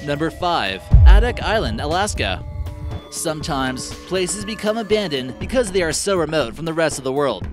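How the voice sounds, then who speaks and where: A man's voice: fairly high pitch, very animated, moderate pace. Man narrating a countdown over music. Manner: casual, lightly wry asides. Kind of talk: scripted narration.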